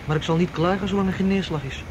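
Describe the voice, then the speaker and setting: Actor as brisk male getaway planner, muffled noisy recording. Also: high voice, fairly even intonation, lightly acted. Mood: tense, confident. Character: tense, self-assured male getaway planner waiting at night. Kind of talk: naturalistic radio drama acting. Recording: dull, noisy radio drama recording.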